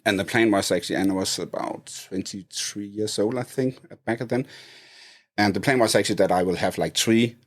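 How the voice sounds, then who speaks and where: A man in his fifties from Denmark with a Danish accent, a podcast guest talking casually with many pauses and restarts. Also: lowish voice. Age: 50s. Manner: hesitant, many fillers and restarts, laughs easily. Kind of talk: casual interview chat.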